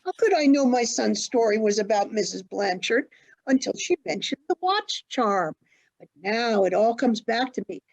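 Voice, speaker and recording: A woman playing an elderly, forgetful, genteel mother in a stage play, telling her story in a dramatic, halting way. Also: fairly high pitch, lively intonation, gravelly. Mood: earnest reminiscence. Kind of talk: stage acting in an online one-act play. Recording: dull lo-fi online call audio.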